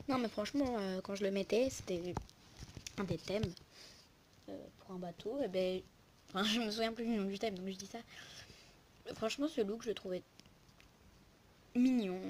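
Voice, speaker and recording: A woman's voice: mid-range voice, normal speed, long pauses, darkish tone. Rambling young woman on a noisy recording. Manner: rambling, informal, self-interrupting. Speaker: video host. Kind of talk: casual game lookbook commentary. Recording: poor sound, clear noise and clicks.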